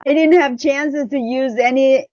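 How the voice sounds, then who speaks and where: A woman's voice: sits high, fairly even intonation, measured pace, darkish tone, no room sound, muffled recording. A middle-aged woman from Vietnam with a Chinese-Vietnamese accent, a podcast guest telling her story in a talkative, self-correcting way. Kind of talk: personal storytelling in an interview.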